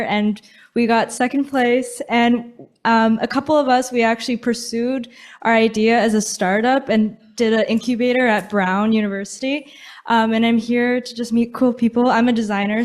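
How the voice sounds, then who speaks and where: Upbeat woman with a higher voice. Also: a little mellow. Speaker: designer pitching an idea. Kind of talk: short pitch at an event.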